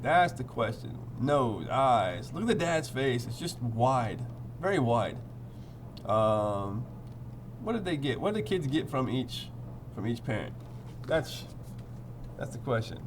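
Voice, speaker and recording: A man's voice: medium pitch, a little hiss or hum. A man teaching through a storybook read-aloud, playful and theatrical, repeating phrases and chatting to his pupils.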